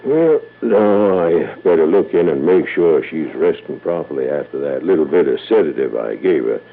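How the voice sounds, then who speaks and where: A kindly old family doctor on an old radio drama. The voice sits very low, with a folksy, courteous, unhurried manner. The sound is narrow and muffled like a vintage broadcast. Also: male voice, animated, creaky, husky, heavily acted. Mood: sombre, kindly. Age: older.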